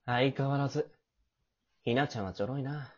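A woman playing a clingy, teasing, possessive teenage boy in love, with a husky, breathy, languid voice, in an amateur romantic audio drama. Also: very deep voice, moderate pace, a little mellow. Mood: sly. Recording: dull, lo-fi home recording.

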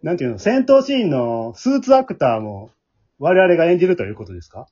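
Husky Kansai man with lively pitch swings. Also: quick pace. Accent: Kansai Japanese. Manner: chuckles often while talking. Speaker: host of an amateur radio drama group's talk show. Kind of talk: casual moderated group chat. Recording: dull, lo-fi audio.

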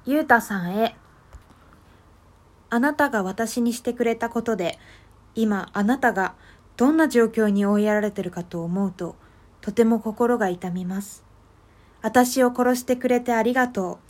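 A woman playing a sorrowful, self-loathing character in a radio drama monologue, gentle, earnest and confessional. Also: a little high, moderate pace, long pauses, lightly acted. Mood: sad gratitude.

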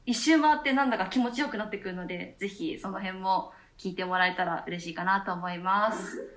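A woman's voice: medium pitch, a little fast, fairly bright tone. Woman chatting in an echoey room. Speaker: theatre troupe member co-hosting a radio talk show. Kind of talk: casual chat, explaining with enthusiasm.

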